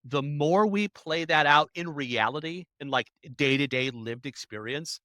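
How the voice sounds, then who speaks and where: An adult interview guest speaking in animated, emphatic bursts. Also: mid-range voice, measured pace, dry recording, studio quality. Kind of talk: conversational interview.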